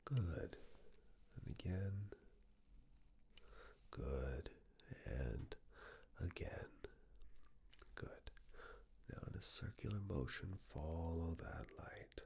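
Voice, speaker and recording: Very low, husky whispering man. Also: animated. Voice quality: breathy, husky whisper mixed with very low soft voice. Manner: soothing, measured instructions in short phrases. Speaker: ASMR roleplayer playing a medical examiner. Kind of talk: whispered ASMR medical exam roleplay.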